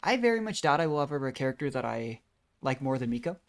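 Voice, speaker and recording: Very low-voiced woman streaming games. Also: big pitch swings, quick pace. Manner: musing, rambling. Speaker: game streamer. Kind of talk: casual live game commentary. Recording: faint game music behind. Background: video game music.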